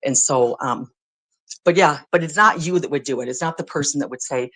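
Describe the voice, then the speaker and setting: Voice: medium pitch, fairly quick, a bit bright. An American speaker explaining things informally as a guest, in a rambling, conversational and blunt way. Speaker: guest, former social services worker.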